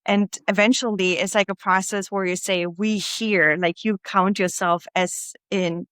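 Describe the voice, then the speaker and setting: A woman's voice: medium pitch, fairly even intonation, measured pace, a bit bright, clear, resonant tone, no echo, studio quality. Chatty woman. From Germany. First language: German. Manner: chatty, rambling, laughs often. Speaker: podcast host. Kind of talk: casual interview chat.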